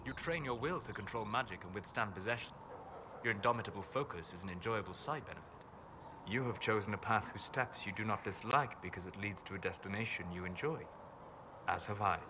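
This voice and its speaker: An actor voicing a calm, reserved elven apostate mage in a video game, speaking in a measured, formal, thoughtful way. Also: male voice, fairly low pitch, close to an everyday voice. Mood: wry, musing. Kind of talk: video game dialogue acting. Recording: clear ambient noise behind game dialogue.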